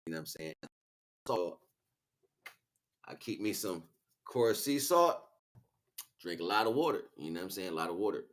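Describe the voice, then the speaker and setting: A man's voice: low-pitched, animated, normal speed, long pauses. A man livestreaming a casual, rambling spiritual monologue in street slang, often checking in with rhetorical questions. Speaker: livestream host.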